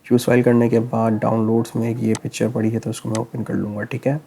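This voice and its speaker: Hoarse guy doing a screen tutorial, gravelly flat voice, dark tone, easygoing step by step. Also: mid-range voice, fast talker, slight background noise. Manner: relaxed step-by-step explaining with frequent check-ins. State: hoarse, sore throat. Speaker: tech tutorial host. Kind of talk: screen-recorded tutorial narration.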